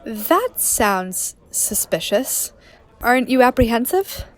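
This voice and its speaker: A woman's voice: a little high, sing-song, slow. A woman playing a serious, probing investigative reporter in a documentary-style radio play. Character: earnest, suspicious undercover female investigative reporter.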